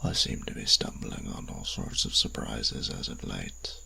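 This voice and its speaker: An extremely low, crackling male creature of darkness, from a fantasy audio drama. He speaks slowly and with arch, teasing politeness, sliding into breathy whispers with a quietly sinister edge. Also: fairly even intonation, full character voice. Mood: veiled menace, amused. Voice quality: very deep, gravelly, breathy near-whisper. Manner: formal, measured, archly polite, teasing. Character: sinister, courtly, teasing dark magical being, male. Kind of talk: fantasy audio roleplay acting.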